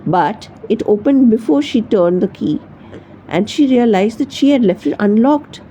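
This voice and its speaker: A woman reading a children's story aloud as narrator, in an expressive storytelling manner. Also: a little high, moderate pace, slight background noise, rough recording. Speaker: storybook reader.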